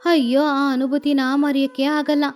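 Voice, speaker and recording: A woman voicing a cheerful, chatty grown-up pigeon aunt in a cartoon story, telling things with lively excitement. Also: high voice, normal speed, faint music behind, close to an everyday voice. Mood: excited.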